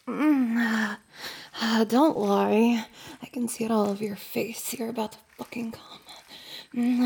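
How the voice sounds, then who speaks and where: A woman playing a teasing, dominant young roommate in an intimate audio roleplay, speaking slowly and often in a whisper. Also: fairly high pitch, darkish tone, exaggerated voice. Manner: slow, husky, often whispering.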